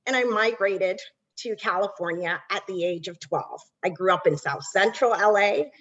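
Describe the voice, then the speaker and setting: A woman's voice: medium pitch, moderate pace, lo-fi sound. A woman from Barbados, a nonprofit executive, giving an earnest webinar welcome with frequent ums.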